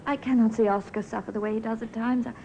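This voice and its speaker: An actress playing a persuasive, solicitous wife in a 1950s radio drama. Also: female voice, fairly high pitch, fairly even intonation, fast, mellow, lightly acted. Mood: anxious, coaxing. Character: persuasive, solicitous wife of an ailing older man. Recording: muffled, hissy old radio recording.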